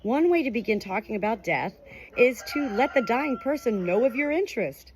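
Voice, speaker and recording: A woman's voice: on the high side, lively intonation, moderate pace, slight background noise. A woman reading a nonfiction book aloud as scripted audiobook narration. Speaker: audiobook reader.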